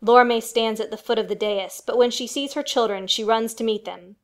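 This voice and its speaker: A woman's voice: on the high side, steady tone, a little fast. A woman reading scripted, dramatic narration for a family radio play.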